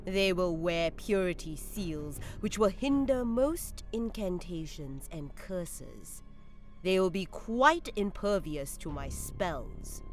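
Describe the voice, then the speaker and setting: Actor as aloof war sorcerer, cold and formal, androgynous mid-range pitch, faint music; audio drama. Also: lively intonation, slow pace. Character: cold aloof sorcerer advising a renegade army.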